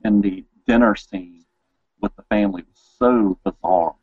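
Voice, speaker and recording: A man's voice: on the low side, moderate pace, breathy edge, dry recording, muffled recording. A man hosting a casual interview, talking informally and enthusiastically. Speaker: interview host. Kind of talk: casual chat.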